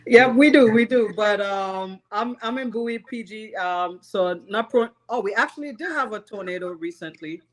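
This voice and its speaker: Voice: mid-range voice, moderate pace, hardly any pauses, fairly bright tone. A podcast host with a mid-range voice leads the conversation in an easy, chatty way. The questions ramble a little and come with plenty of laughter. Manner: friendly, laughs often, loose rambling questions. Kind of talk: casual interview chat.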